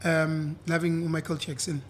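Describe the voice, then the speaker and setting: A young South African man with a husky voice, chatting easily as an interview guest and mixing in isiZulu. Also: on the high side, unhurried, a little hiss or hum. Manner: relaxed, switches between English and isiZulu, repeats "yeah". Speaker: guest, singer. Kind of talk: casual interview chat.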